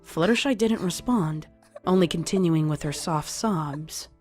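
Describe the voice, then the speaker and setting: A woman's voice: fairly low pitch, unhurried, darkish tone. A woman reading scripted horror fiction as a dramatic story narrator. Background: music under the voice.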